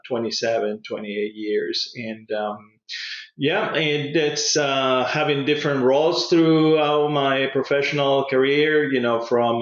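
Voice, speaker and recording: A man's voice: medium pitch, fairly bright tone. Older Peruvian man in a roomy, dull recording. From Lima, Peru. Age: 50s or older. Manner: hesitant, pausing often. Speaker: podcast guest, pet food industry consultant. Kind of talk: conversational interview answers. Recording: roomy echo, dull lo-fi sound.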